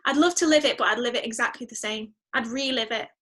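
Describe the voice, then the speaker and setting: A woman's voice: on the high side, fast, a bit bright. A woman musician chatting casually as an interview guest, effusive and emphatic, repeating words for emphasis. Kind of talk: casual podcast interview chat.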